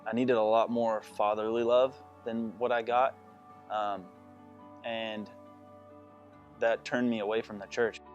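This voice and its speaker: A man's voice: fairly low pitch, little pitch movement, a little slow, long pauses, faint music behind. A man in his mid-twenties giving a plain-spoken personal testimony. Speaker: church member giving testimony. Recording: dull, muffled audio.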